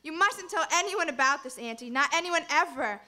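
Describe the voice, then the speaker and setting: A disturbed college girl in an old-time radio play. She is childish, secretive and stubborn, with a high, piercing voice and theatrical delivery. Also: expressive, brisk, bright, forceful tone. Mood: possessive. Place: live stage performance at a convention.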